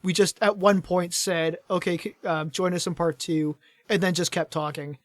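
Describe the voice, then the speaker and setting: A man's voice: high voice, fairly even intonation, moderate pace, no room sound. Tired-voiced male podcast co-host, fairly dry sound. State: tired voice starting to fade after hours of talking. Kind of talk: casual gaming podcast chat.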